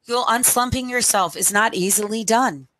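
A middle-aged woman reading a picture book aloud for children at storytime, phrase by deliberate phrase, into a headset microphone. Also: on the high side, bright, forceful tone, dry recording.

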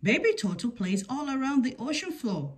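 A woman's voice: sits high, very animated, normal speed, breathy edge. A woman reading a picture book aloud to children as a scripted story-time narration.

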